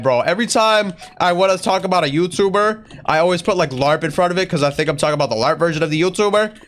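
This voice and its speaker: Strained, raised-voice man chatting casually. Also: fairly bright tone. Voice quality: strained put-on higher voice, imitating another YouTuber. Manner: slangy bro-talk, rambling. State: voice sore from straining. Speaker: YouTuber answering viewer questions. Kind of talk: casual Q&A vlog chat in character.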